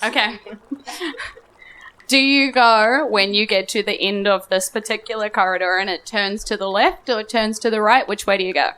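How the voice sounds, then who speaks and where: A woman's voice: medium pitch, moderate pace, sharp tone, a little hiss or hum. A New Zealand woman running a tabletop game as game master, narrating off the cuff in a casual, informal way at a live show. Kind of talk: improvised tabletop game narration before an audience. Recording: live stage show with music underneath.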